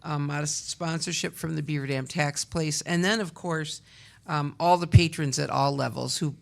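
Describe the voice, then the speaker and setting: Woman, from Wisconsin, theatre rep, gravelly, talkative, laughs often. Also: sits low. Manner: talkative, laughs often, trails off mid-sentence. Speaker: community theatre representative. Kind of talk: casual radio interview.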